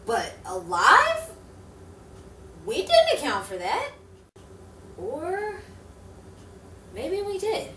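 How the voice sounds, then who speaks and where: A woman's voice: very high-pitched, fairly bright tone, full character voice. A woman playing a persistent, coaxing newspaper reporter in an improvised noir radio play. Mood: brooding narration. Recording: roomy, distant mic with faint noise.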